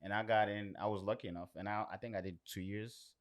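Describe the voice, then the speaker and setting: A man's voice: fairly low pitch, steady tone, fast talker. A man telling stories casually as a podcast guest, emphatic and conversational, often circling back over his words. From Suriname or abroad, later moved away. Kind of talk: casual storytelling chat.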